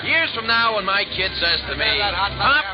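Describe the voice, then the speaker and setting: A high-pitched, droll young workman, dreamy and plain-spoken. The delivery is vintage radio acting, heard through a dull old recording. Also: big pitch swings, normal speed, a loud crowd. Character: dreamy, wisecracking young riveter, a working stiff.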